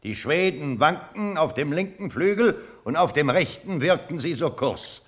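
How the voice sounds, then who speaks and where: An actor playing a loyal old cavalry colonel in a classical radio drama, earnest and formal, deferential yet stubborn. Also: male voice, on the high side, animated, measured pace, fairly bright tone. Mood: defiant, impassioned. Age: older. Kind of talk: classical German stage verse radio drama.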